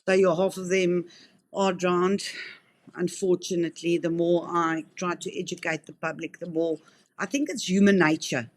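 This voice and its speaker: A woman's voice: on the low side, level tone, moderate pace. A South African woman in her fifties who works in wildlife rehabilitation answers interview questions in an earnest, talkative way, often restarting her sentences. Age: 50s.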